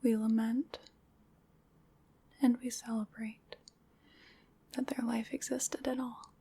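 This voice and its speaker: A woman playing a gentle, solemn witch in an ASMR roleplay, whispering in a breathy, soothing, ceremonial way. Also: on the high side, little pitch movement, slow, full character voice. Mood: gentle, reverent. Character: gentle, solemn witch leading a Samhain ritual.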